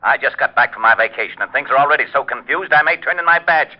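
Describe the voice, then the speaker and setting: An actor playing a gruff, exasperated police lieutenant in a 1940s radio drama, grumbling and blustering. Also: male voice, mid-range voice, fast, lightly acted. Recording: dull old-time radio recording.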